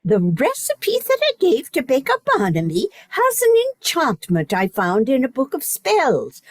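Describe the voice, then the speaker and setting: Woman playing a wildly expressive stern noble mother. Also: high voice, a little slow, no room sound, exaggerated voice. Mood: knowing. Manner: theatrical, exclamatory. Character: stern noble lady, indignant scolding mother. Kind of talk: comic radio play acting.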